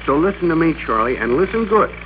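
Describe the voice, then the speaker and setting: An actor playing a bossy, excitable office worker in an old-time radio drama, with a domineering, emphatic, theatrical delivery. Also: male voice, on the high side, quick pace. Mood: bullying. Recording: noisy, dull old radio recording.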